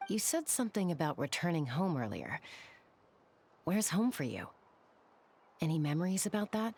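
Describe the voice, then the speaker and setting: A woman's voice: lowish voice, sing-song, normal speed, darkish tone, a little hiss or hum. A woman playing a plain-spoken young adventurer in a video game, asking direct questions. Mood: questioning.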